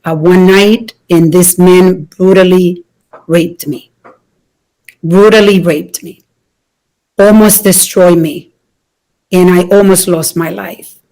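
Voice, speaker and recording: A woman in her fifties from the Dominican Republic, telling her life story to an audience in an earnest way, with many small pauses. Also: on the low side, steady tone, mellow, poor audio. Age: 50s. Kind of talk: personal talk to an audience.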